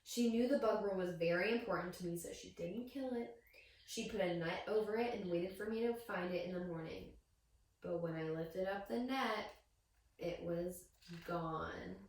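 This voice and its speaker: A woman reading a picture book aloud to young children as a teacher, with expressive storytelling and questions to her listeners. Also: on the low side, moderate pace, a little hiss or hum. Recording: very echoey room, distant microphone.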